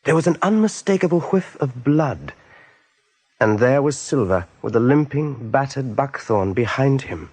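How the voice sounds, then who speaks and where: An actor narrating an animal adventure for a radio play in a measured, suspenseful storytelling manner. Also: male voice, mid-range voice, expressive, darkish tone, slightly rough. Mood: grave.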